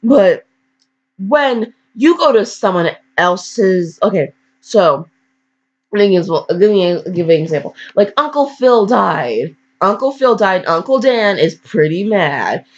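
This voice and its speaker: A woman's voice: medium pitch, big pitch swings, slow. A woman casually reading fan fiction aloud with slangy, blunt, digressive commentary and mock scolding. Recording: dull, lo-fi home recording.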